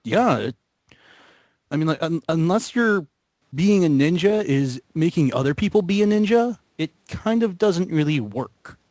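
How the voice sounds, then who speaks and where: A man with a somewhat high, animated voice rambles in a casual group chat. He speaks haltingly and geekily, recorded dry with little room sound. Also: no echo. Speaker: member of a gaming commentary group.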